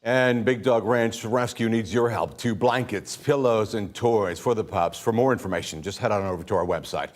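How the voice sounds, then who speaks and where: A man's voice: lowish voice, normal speed, few pauses. A man anchoring a local TV newscast, reading the script in a polished anchor style. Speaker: news anchor.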